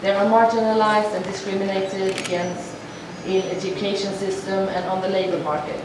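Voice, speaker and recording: A woman voicing a formal speech to an assembly as a sign language interpreter, measured and halting, with frequent restarts. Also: medium pitch, steady tone. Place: large assembly hall. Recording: echoey hall from far off, noisy.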